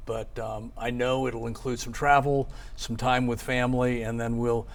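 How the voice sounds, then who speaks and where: A man's voice: medium pitch, flat delivery, normal speed. An American man in his sixties, an investigative television reporter speaking in a broadcast news style. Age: 60s. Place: TV studio.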